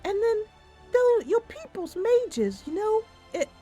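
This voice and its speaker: A small, petulant nixie for a fantasy game. Her voice sits very high and jumps about with animated swings. She is distrustful and sulky, haggling grudgingly, with music behind her. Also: takes it slowly, heavily acted. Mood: grudging, bargaining. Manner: sulky, complaining, bargaining. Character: petulant female nixie, distrustful little fae trickster.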